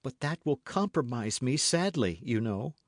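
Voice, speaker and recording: A man reading an audiobook as an anxious, guarded young gentlewoman who speaks in a formal, fretful, pleading way. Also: mid-range voice, very animated, unhurried, no room sound, clean studio sound, voice pushed up. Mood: worried.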